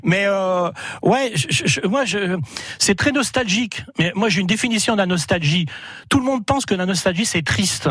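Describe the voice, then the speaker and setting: Older man, lively high voice. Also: moderate pace, dry recording, clean studio sound. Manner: talkative, animated, chuckles often. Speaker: retired journalist, book co-author. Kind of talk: radio interview.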